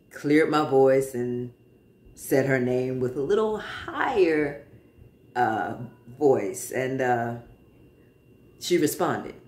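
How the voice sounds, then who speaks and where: A man in his forties chatting casually to camera from a living room, relaxed, with many pauses and fillers. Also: mid-range voice. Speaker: vlogger. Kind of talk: casual personal update to camera. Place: living room in front of a sofa, indoors. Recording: roomy, echoey home room.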